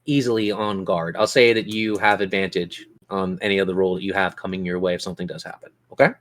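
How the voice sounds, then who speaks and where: A man with a fairly low voice acts as game master at a tabletop role-playing session. He narrates descriptively, painting scenes for the players and steering them with questions. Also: little pitch movement, quick pace.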